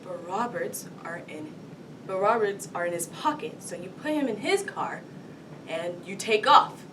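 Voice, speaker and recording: A woman's voice: a little slow, natural voice. A woman performing a cocky police detective who lays out a crime to a suspect in a comic TV monologue, taunting and dramatic. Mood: building, triumphant. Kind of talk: comedy TV monologue performed solo. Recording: distant mic, rumbling background noise.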